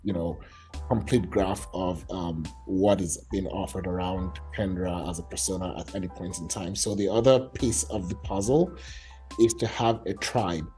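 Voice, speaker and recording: A man's voice: low-pitched, steady tone, moderate pace, dark tone, soft background music. A man from Nigeria, a startup founder, explaining his app in a casual online meetup with enthusiasm and many fillers.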